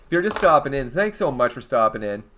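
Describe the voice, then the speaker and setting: A man's voice: mid-range voice, big pitch swings, fast talker, a bit bright. A young man from eastern Canada with a Canadian accent, chatting casually and rambling on his game livestream as he answers his viewers. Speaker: game streamer.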